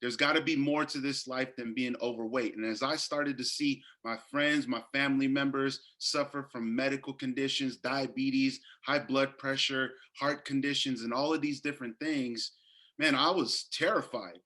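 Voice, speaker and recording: Guest, male, 30s, bright forceful tone, earnest storyteller who restarts often. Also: medium pitch, steady tone, normal speed. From Northern California. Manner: earnest, many fillers and restarts. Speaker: guest health coach. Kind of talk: casual interview storytelling.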